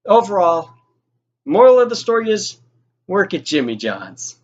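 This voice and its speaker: A man's voice: high-pitched, very animated, measured pace, highs cut off. A young man telling a personal anecdote in an informal, sweary, exasperated way, acting out what people said. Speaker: storyteller. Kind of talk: animated personal anecdote with acted-out quotes.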